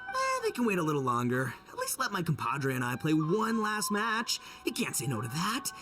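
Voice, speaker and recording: A man's voice: on the high side, wildly swooping pitch, moderate pace, over music. A man voicing a melodramatic, playful grown oni in an anime game. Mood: pleading.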